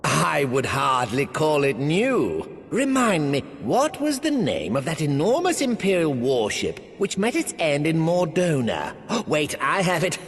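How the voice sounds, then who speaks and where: Pompous rich fantasy lord, smug and sly, kinda high voice, super swoopy drawn out speech. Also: male voice, wildly swooping pitch, a little hiss or hum, exaggerated voice. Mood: sly, teasing. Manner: theatrical, drawn-out, smug oratory. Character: sly, pompous wealthy male lord and merchant politician. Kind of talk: fantasy video game acting, archaic speech. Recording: long hall reverb, faint music behind.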